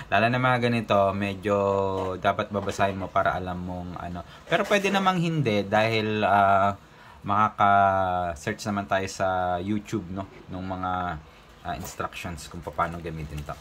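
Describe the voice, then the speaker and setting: A Filipino man hosting a casual unboxing vlog, mixing Tagalog and English in a halting, hesitant way. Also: deep voice, fairly bright tone, a little hiss or hum. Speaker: motovlogger host.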